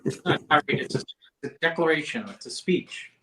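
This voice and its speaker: A man's voice: fairly high pitch, expressive, fairly quick, sharp tone, rough recording. A man co-hosting a casual talk radio show, chiming in with wry, joking remarks. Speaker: co-host.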